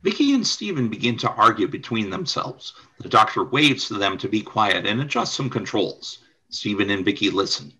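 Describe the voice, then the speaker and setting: Animated male narrator, bright and slightly husky. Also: fairly low pitch, normal speed, hardly any pauses, poor audio. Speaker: narrator and announcer. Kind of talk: scripted radio play narration.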